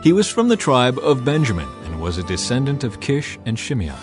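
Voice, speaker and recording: Expressive man narrating scripture over music. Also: medium pitch, fairly quick. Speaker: audio Bible narrator. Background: background music.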